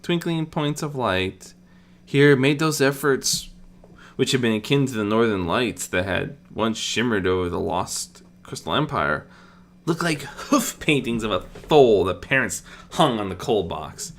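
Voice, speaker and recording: A narrator reading a scripted fantasy story in an expressive storybook manner, with dramatic pauses. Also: mid-range voice, a little hiss or hum. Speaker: audiobook narrator.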